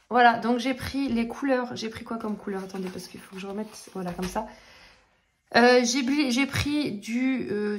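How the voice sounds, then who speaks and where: A woman's voice: a little high, a little hiss or hum. A French woman in her thirties with a cold, chatting casually in a home vlog and rambling with many fillers and restarts. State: has a cold. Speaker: coloring vlogger. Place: home office room.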